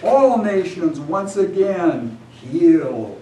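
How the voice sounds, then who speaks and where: Man performing ancient noble medicine man, slow solemn oratory, commanding, epic poem, echoey distant recording. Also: high-pitched, sing-song, mellow, full character voice. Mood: solemn, prophetic. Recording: echoey room, distant mic, background noise.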